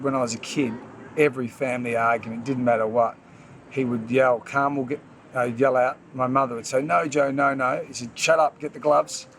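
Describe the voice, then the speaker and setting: A man's voice: medium pitch, a little hiss or hum, rough recording. A man, a former boxer, reminiscing in a documentary interview with easygoing, hesitant storytelling. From Australia.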